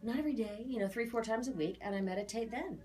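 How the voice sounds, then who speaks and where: A woman's voice: on the high side, quick pace, darkish tone. A woman giving a conversational spiritual reading as an aura reader, talking in a chatty, emphatic, assured way.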